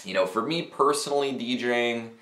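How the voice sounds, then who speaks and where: A man's voice: medium pitch, brisk, a bit bright. A man in his thirties casually reviewing audio gear to camera, talking technically with fillers and restarts, recorded in a small office. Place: small cluttered office with speakers and mixers. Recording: roomy small-office echo.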